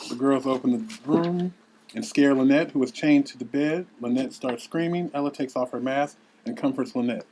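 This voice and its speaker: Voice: medium pitch, moderate pace, darkish tone, slight background noise, low-quality recording. A narrator reading scene headings and stage directions at a table read.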